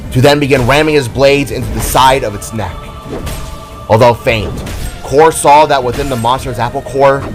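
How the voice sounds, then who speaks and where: A man giving dramatic, theatrical narration of a scripted fantasy story. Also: medium pitch.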